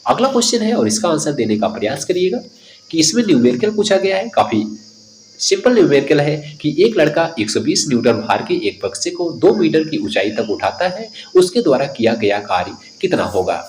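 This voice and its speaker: A man speaks as an exam coach in a fairly high voice. His pitch swings widely as he reads questions and explains answers to students. There is some noise behind him. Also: very animated, a little fast, a little mellow, rough recording. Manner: instructive, addresses students directly. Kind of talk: quiz-style lesson, reading questions and explaining answers.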